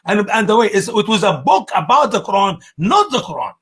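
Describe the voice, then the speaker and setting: A middle-aged man from Egypt with an Egyptian Arabic accent, co-hosting a casual panel discussion in an excitable, emphatic way. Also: a little fast, breathy edge. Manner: excitable, emphatic, swings high on key words. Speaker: co-host.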